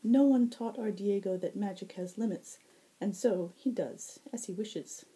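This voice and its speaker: A woman's voice: mid-range voice, measured pace, warm tone, voice pushed up, natural voice, low-quality recording. A woman voicing a playful, teasing male fox fairy herald with courtly, flowery phrasing and a silvery tone, in a fantasy story reading. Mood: matter-of-fact, playful.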